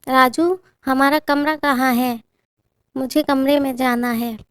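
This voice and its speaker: A woman's voice: sits high, fairly even intonation, slow pace, clear, resonant tone, no room sound, studio quality, pitched above the performer's own voice. A woman voicing a spoiled young bride who acts like a haughty queen, talking in a whiny, demanding way. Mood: curt.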